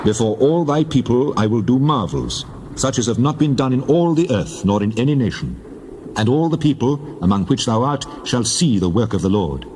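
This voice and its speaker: A man's voice: medium pitch, sing-song, moderate pace, darkish tone, a little hiss or hum, natural voice. A man playing God in a dramatized scripture reading, speaking with solemn, declarative authority. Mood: commanding. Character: God, solemn commanding male divine voice.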